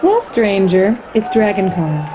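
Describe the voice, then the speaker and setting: A woman's voice: medium pitch, sing-song, unhurried, a crowd in the background, noisy background. An actress playing a wary, brusque but curious city woman in radio play dialogue. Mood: brusque, dismissive. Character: skeptical, brusque female New Yorker, wary but curious.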